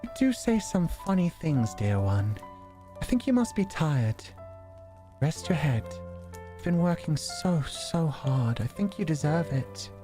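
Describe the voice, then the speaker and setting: A doting young princess from a visual novel, acted out by a man, pitched well up from his usual voice. The manner is soft, tender and gently possessive, with formal storybook wording over a music bed. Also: sing-song, measured pace. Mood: tender, reassuring.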